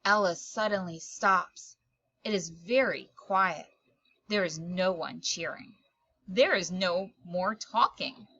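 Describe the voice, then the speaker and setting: A woman storyteller reading a children's picture book aloud in expressive narration, with dramatic, deliberate phrasing. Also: on the low side, husky, no room sound, highs cut off.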